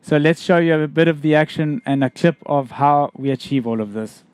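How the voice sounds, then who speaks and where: A male presenter on a live sports broadcast, explaining things briskly. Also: fairly high pitch, a little mellow, a little hiss or hum.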